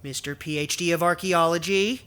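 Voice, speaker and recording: An actress playing a driven, stubborn, eager sibling in an audio drama, wry and insistent. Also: female voice, on the low side, moderate pace, fairly bright tone, natural voice. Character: driven, stubborn, eager sibling chasing a late father's research.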